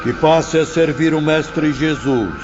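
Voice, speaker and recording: A man's voice: on the high side, slow. A man playing a solemn spiritual mentor, declaiming to his pupils in a dramatized audiobook. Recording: muffled, music behind.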